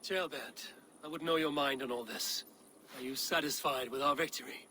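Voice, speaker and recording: An actor playing a grave, level-headed Viking war leader in a video game, speaking in a measured, commanding way. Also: male voice, medium pitch, sharp tone, a little hiss or hum, natural voice. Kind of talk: dramatic video game acting.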